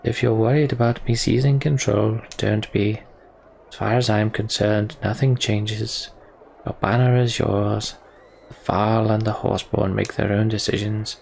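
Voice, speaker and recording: A man playing a candid, disillusioned young prince in a fantasy game, speaking in a measured, reflective way. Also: on the low side, little pitch movement, gravelly, darkish tone, a little hiss or hum. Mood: earnest. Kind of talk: voiced game dialogue reading.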